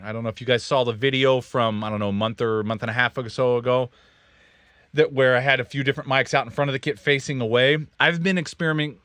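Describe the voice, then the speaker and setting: Midwestern man, studio host, rambling and jokey, mid-pitched, dry close sound. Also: steady tone, quick pace, a bit bright, clear, resonant tone, dry recording. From Kansas, USA. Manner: rambling, self-correcting, jokey. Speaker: recording studio owner and livestream host. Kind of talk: casual technical livestream chat. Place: recording studio control room.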